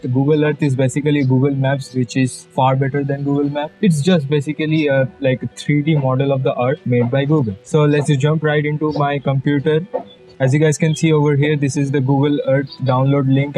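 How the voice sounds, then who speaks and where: Chatty young Indian man with mellow tone. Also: mid-range voice, poor audio. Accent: Bengali Indian English. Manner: chatty, jokey asides, many fillers. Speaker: YouTube tutorial host. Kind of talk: casual screen-recorded software tutorial. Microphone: budget external mic.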